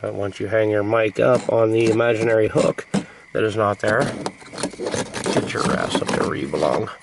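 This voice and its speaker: A man's voice: lowish voice, moderate pace, gravelly, heavy background noise. A man from the United States chatting casually as he vlogs about his hobby, in a playful, slangy and mildly profane way. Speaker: hobbyist vlogger. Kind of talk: rambling casual chat to camera.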